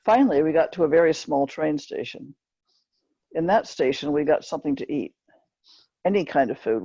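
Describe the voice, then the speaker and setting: Husky woman reading a story aloud. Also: low voice, warm tone. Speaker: author reading from a book. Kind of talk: scripted narration, book reading. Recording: dull, roomy lo-fi recording.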